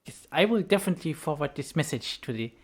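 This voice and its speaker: A friendly woman interviews a guest for a convention, enthusiastic and quick to laugh. Her voice sits a little low for a woman. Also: animated, breathy edge. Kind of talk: casual interview chat.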